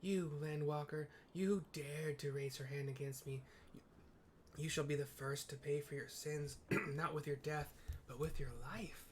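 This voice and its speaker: A man's voice: fairly high pitch, steady tone, moderate pace, lightly acted. A man voicing a proud, vengeful female wind goddess who declaims threats in a haughty manner.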